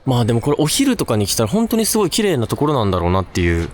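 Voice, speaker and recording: A man's voice: medium pitch, huge pitch swings, racing, darkish tone, a little hiss or hum. A man hosting a haunted-spot exploration, chatting casually as he presents.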